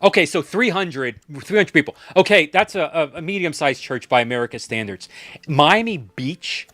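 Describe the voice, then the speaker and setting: Animated male panel host, dry sound. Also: on the high side, moderate pace, no room sound. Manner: animated, emphatic, repeats words. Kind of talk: panel conversation. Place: livestream call.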